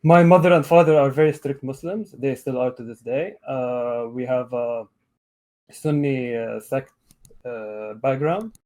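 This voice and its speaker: A man of thirty-one from Lebanon, speaking conversationally as a guest in a debate call, often pausing mid-sentence. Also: mid-range voice, darkish tone. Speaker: guest caller, atheist debater.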